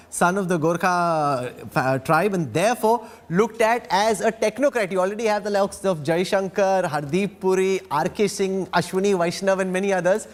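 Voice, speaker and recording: A man anchoring a live news interview, asking teasing, wry questions. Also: high voice. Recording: long reverb on the voice.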